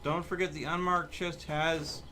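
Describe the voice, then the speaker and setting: A man's voice: a little high, little pitch movement, a little slow, sharp tone, slight background noise. A man hosting a livestream, chatting casually and giving instructions to his teammates as he plays a game. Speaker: livestream host and player. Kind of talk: casual gaming stream chat, giving team instructions.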